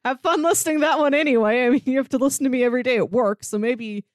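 A woman's voice: high voice, very fast, no echo, clean studio sound. A woman co-hosting a podcast, talking in a chatty, informal way. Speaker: podcast co-host. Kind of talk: casual podcast chat.

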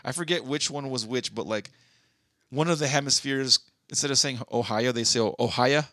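A man's voice: medium pitch, normal speed, a bit bright, dry recording, studio quality. A man from Southern California with a slight East LA accent, co-hosting a casual podcast in a loose, rambling, profane way. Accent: East Los Angeles. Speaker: podcast co-host. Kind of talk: casual chat.

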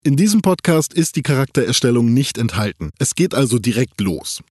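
Lively-pitched man introducing a podcast. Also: fairly quick, husky, no echo, clean studio sound. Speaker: podcast host.

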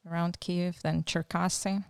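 A woman's voice: lowish voice, level tone, unhurried, gravelly, no echo, studio quality. A woman in her thirties with a Ukrainian accent, speaking as an interview guest, thoughtful and hesitant with many fillers. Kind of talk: casual interview.

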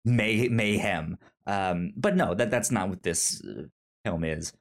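Male podcast co-host, lower register, casual movie talk with stuttered, restarting phrases. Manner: halting, stammers and repeats word starts. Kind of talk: casual film discussion.

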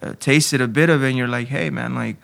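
A man's voice: mid-range voice, steady tone, brisk, gravelly, fairly bright tone. A man in his twenties from Honduras, a podcast guest speaking candidly and searchingly, restarting his sentences often. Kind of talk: conversational interview.

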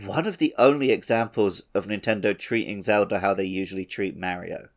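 A man's voice: on the low side, brisk. A man hosting a casual gaming podcast chat, jokey and often chuckling as he talks. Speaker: podcast host.

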